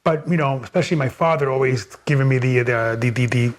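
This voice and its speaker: Halting older man telling his story. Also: mid-range voice, slight background noise. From Miami, United States. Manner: halting, many repeated words and fillers. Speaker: interview guest. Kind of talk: casual storytelling. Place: library room of his home.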